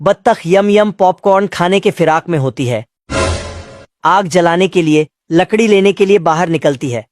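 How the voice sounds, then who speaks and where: A narrator with a medium pitch delivers a scripted comic cartoon recap. The wording is colloquial and slangy, told as a running story, over faint noise. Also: moderate pace, darkish tone.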